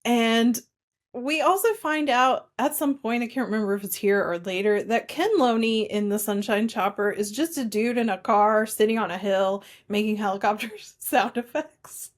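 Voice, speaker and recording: A woman co-hosting a casual film discussion, recapping in an informal, conversational way with animated retelling. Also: a little high, normal speed. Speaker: podcast co-host.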